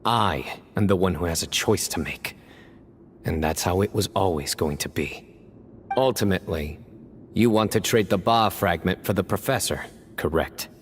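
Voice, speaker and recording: A man playing a stern young fantasy game hero, serious and measured in how he talks. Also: deep voice, a little hiss or hum, close to an everyday voice. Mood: grave, reasoning.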